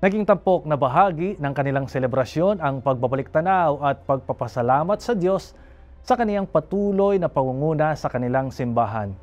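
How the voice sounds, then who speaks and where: A man reading a news introduction as anchor in a studio, with a formal, even delivery. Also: a little high, muffled recording.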